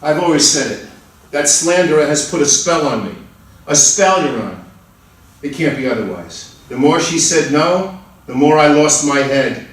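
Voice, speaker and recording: A man's voice: on the high side, animated, natural voice. Man playing a pleading accused murderer in an echoey hall. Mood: anguished. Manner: emphatic, pleading, drawn-out outbursts. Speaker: reader playing the accused in a play. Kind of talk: dramatic stage reading. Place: large indoor room at a college festival reading. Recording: echoey hall, far from the mic.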